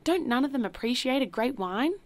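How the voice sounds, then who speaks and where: A woman voicing a brash, boastful, newly rich man who talks loudly and coarsely. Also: medium pitch, normal speed, natural voice. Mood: irritated. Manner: loud, blustering, ungrammatical slang.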